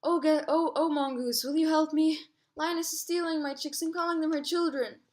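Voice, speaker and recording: Woman voicing a high, frantic mother ostrich. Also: brisk, slight background noise, natural voice. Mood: pleading. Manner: yelling, pleading calls. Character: distressed, persistent mother ostrich pleading for her chicks.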